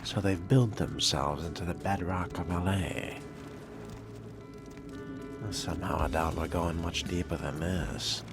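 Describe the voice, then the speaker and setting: A low, breathy vampire voice, acted out by a man, refined and jaded. He delivers his game roleplay monologue slowly and haughtily, with formal, measured wording. Prominent music plays behind him.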